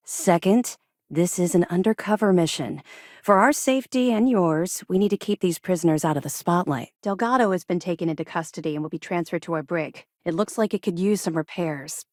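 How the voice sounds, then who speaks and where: An actress playing a disciplined, guarded female officer in a space navy for a video game, with a crisp, formal briefing manner. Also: fairly low pitch, brisk, darkish tone, no room sound, studio quality, close to an everyday voice. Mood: composed, businesslike. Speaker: video game character voice actor. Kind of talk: video game dialogue acting.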